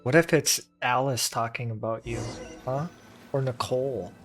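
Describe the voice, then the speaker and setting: A woman's voice: rumbling low, moderate pace, soft background music. A woman game streamer casually reacting to gameplay in an offhand, giggly way.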